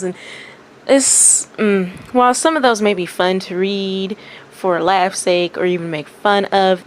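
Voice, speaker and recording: A woman doing casual story-time talk and reading fan fiction aloud as a narrator. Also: medium pitch. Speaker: fan fiction reader and commentator. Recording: faint background noise.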